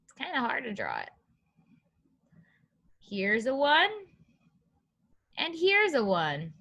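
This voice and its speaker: Female preschool teacher, high bright voice, sing-song and encouraging, reading aloud to little kids. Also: slow pace, gravelly. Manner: animated, sing-song, encouraging, simple phrasing for young children. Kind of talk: children's read-aloud lesson with questions and counting.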